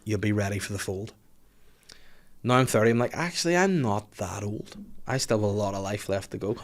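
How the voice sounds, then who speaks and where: A man's voice: fairly low pitch, a little fast, a little hiss or hum. A man, hosting a casual podcast chat, joking and swearing freely. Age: 30s. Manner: jokey, swears freely, acts out quotes. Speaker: podcast host.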